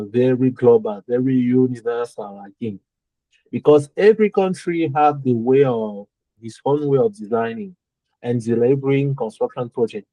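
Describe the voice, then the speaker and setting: A man's voice: mid-range voice, no room sound, muffled recording. A young man from Cameroon, whose first language is French, speaking English with a French-speaking Cameroonian accent. He answers interview questions carefully, searching for words and repeating phrases. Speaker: architect guest.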